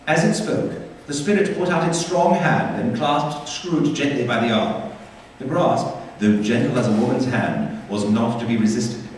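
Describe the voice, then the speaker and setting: A man narrating a radio play as a storyteller, with theatrical, deliberate phrasing. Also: mid-range voice. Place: theatre stage. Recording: echoey theatre hall, distant mic, faint noise.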